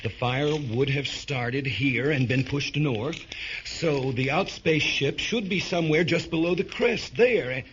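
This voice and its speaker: An actor playing a desperate accused scientist in a science fiction radio drama, speaking with urgent, halting emotion. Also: male voice, fairly high pitch, a little hiss or hum. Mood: weary, searching. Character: desperate accused male scientist insisting on his innocence. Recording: dull radio play recording under loud music.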